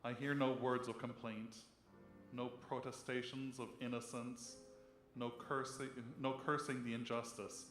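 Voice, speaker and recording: A man giving a solemn devotional reading in a measured, reflective manner. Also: mid-range voice, little pitch movement, fairly bright tone. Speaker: church reader. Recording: echoey room. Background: music.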